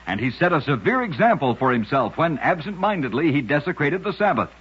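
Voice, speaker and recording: A man tells strange true tales in the style of old-time radio. His voice sits fairly high and is very husky, with emphatic, dramatic phrasing. The recording is muffled and dated. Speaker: radio host and storyteller. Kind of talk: old-time radio scripted narration of curious facts.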